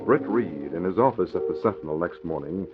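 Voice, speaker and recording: A man's voice: low voice, expressive, a little fast, over loud music. An American man narrating a radio adventure in a dramatic 1940s announcer style. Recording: dull, faintly noisy old radio recording.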